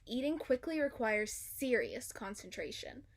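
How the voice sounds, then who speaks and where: A woman's voice: on the high side, expressive, measured pace. A woman narrating a children's story aloud, expressively and with dramatic emphasis. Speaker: storybook reader.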